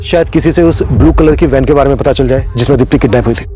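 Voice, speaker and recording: An actor playing a stern police investigator in a TV crime drama, giving clipped orders and questions over dramatic music. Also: male voice, medium pitch, quick pace, dark tone, slight background noise, close to an everyday voice. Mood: commanding. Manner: clipped, commanding, interrogative. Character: brisk, stern male police investigator leading a case. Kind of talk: Hindi TV crime serial acting.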